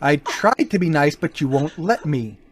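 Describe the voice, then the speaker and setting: An actor in an amateur old-time radio play, voicing a smooth, mocking gentleman burglar with a lively, swinging delivery. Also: fairly high pitch, moderate pace, no room sound. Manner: smooth, mocking, turns menacing. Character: suave, cocky male gentleman burglar.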